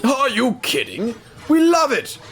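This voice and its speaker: A man's voice: very high voice, huge pitch swings, unhurried, a bit bright, voice pushed up, exaggerated voice. A man voicing a genial, wry older railway wagon in an audio drama, folksy and patient. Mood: enthusiastic.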